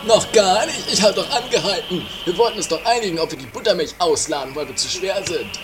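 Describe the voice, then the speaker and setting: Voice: medium pitch, expressive, fast, over loud music, pitched below the performer's own voice, close to an everyday voice. An actor playing a dry, dim, plodding male driver in an improvised comedy radio play. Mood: matter-of-fact.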